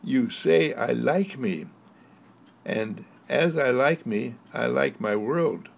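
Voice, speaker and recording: A man voicing a gentle talking candle. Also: medium pitch, animated, slow pace, vocal fry, heavily acted. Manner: soft, soothing, praising.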